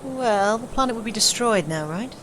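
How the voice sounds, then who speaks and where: A woman's voice: on the high side, animated, normal speed, a bit bright. A woman playing a hopeful refugee settler looking to a new start in an audio drama. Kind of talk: scripted audio drama acting. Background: faint ambient noise.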